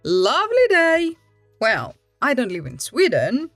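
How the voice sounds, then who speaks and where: A woman from Finland hosting a story channel, reading aloud in a dramatic, expressive way. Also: sits high, measured pace, no echo, studio quality. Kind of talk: animated read-aloud storytelling. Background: background music.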